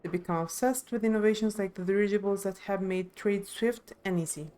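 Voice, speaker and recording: Woman as a mellow, thoughtful young villager. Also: mid-range voice, fairly quick, lightly acted. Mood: grave. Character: thoughtful young villager, steadfast ally of the heir.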